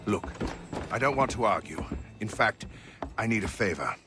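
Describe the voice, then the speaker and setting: An actor playing a gruff, resentful sailing-ship captain in a video game, stern, blunt and formal. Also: male voice, on the low side, animated, moderate pace, music in the background, close to an everyday voice. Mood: grudging, wary. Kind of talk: video game cutscene acting.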